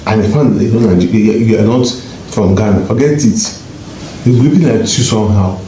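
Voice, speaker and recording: A man's voice: lowish voice, moderate pace, dark tone. Emphatic man, echoey clip. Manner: emphatic, repeating himself. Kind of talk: casual opinion chat. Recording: echoey clip with faint noise.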